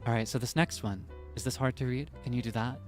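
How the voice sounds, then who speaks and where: A man imitating a gentle, soft-spoken woman optometrist with a low, breathy voice. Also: fast, faint music behind, natural voice. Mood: soothing.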